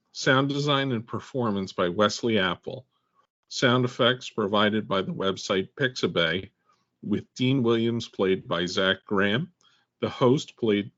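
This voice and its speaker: Lower-pitched man reading credits. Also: fairly bright tone, highs cut off. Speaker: credits announcer.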